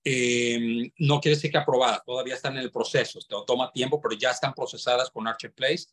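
A man's voice: mid-range voice, fairly even intonation, a little fast, fairly bright tone, breathy edge. A man from Mexico, a developer's representative explaining informally on a video call, often searching for words. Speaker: property developer's representative, webinar guest.

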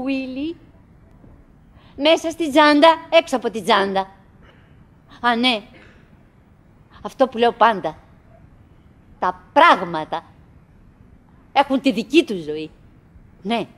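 An actress playing a chattering, wistful, anxious woman in a theatrical radio drama monologue, halting and repeating herself, swinging between murmurs and cries. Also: a little high, a bit bright. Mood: dreamy, musing.

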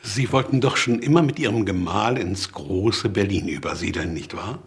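An actor playing a formal gentleman of imperial-era Germany in television film dialogue, courtly and suggestive. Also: fairly low pitch, moderate pace, husky. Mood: insinuating.

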